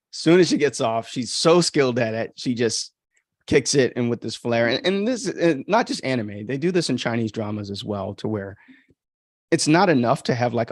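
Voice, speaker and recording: A man from the United States talks casually as a livestream host, rambling through his opinions in a conversational way. His medium-pitched voice has a gravelly creak, and the recording is close and dry. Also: no echo. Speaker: podcast host and author commenting on own story.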